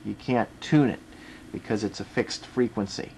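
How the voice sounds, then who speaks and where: An American man giving a casual, unscripted demonstration, explaining step by step in a halting way. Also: on the low side, vocal fry, warm tone. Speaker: video presenter demonstrating a radio. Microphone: camera microphone. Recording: faint background noise, home camera recording.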